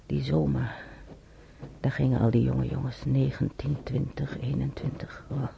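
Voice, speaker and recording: A man acting a wistful character who reminisces about his childhood in a radio drama, intimate and halting, with a gravelly voice. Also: medium pitch, level tone. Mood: wry, musing. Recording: dull, noisy old radio recording.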